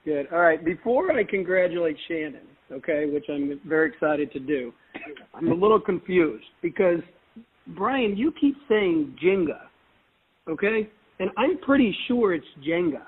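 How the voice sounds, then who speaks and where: Guy from st louis on a call, teasing then earnest, slightly high voice, thin phone sound. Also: animated, moderate pace, breathy edge, narrow, tinny audio. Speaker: guest. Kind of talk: casual congratulatory chat.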